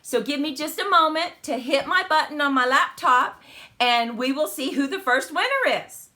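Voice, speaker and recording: A woman's voice: high-pitched, animated, moderate pace, bright, forceful tone. A woman hosting a live card-making stream, chatty and welcoming, her voice a little crackly after the flu. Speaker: host of a card-making channel. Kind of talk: live stream chat and crafting demo.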